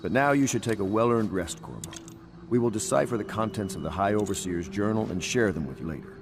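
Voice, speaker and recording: Actor as a deep-voiced, commanding rebel leader. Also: male voice, close to an everyday voice. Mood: grave, urgent. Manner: formal, measured, oratorical. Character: grave, commanding male loyalist leader, formal and resolute. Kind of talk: scripted game dialogue.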